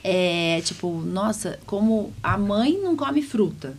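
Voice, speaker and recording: A woman's voice: mid-range voice, expressive, slow pace, a little hiss or hum. A middle-aged Brazilian woman hosting a podcast, talking in a casual, anecdotal way and often checking for agreement. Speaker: podcast host, physician. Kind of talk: casual conversational podcast chat.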